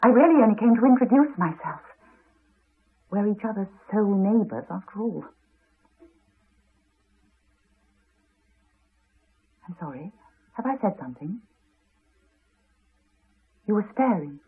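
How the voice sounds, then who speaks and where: Husky, composed Englishwoman. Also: mid-range voice, lively intonation, normal speed, pauses often. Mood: polite. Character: composed, probing middle-class wife and mother. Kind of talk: 1980s radio drama acting. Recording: narrow-band old radio recording.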